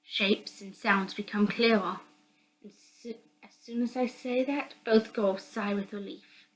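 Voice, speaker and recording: A woman's voice: medium pitch, fairly even intonation, unhurried, a little hiss or hum, poor audio. A woman reading a visual novel game aloud as dramatic narration. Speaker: host of a visual novel read-through. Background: background music.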